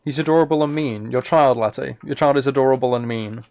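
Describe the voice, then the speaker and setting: A man casually chatting on a live game stream, thinking aloud in a relaxed way, close to the microphone. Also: mid-range voice, quick pace. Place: indoors at a computer.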